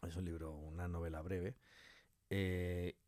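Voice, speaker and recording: A man speaking as a guest in a casual interview, a writer and director explaining his craft in colloquial, animated talk. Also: low-pitched, measured pace, no room sound.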